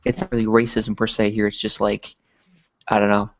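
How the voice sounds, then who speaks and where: Rambling Newfoundland man, low voice. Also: little pitch movement, fairly quick, highs cut off. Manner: rambling, many filler likes. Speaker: co-host. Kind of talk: casual podcast chat.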